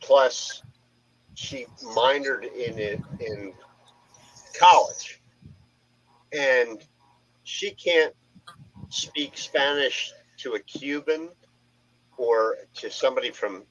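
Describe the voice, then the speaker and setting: An American man on a casual panel, explaining things in a measured, stumbling way. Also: medium pitch, fairly bright tone. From United States. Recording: dull lo-fi audio.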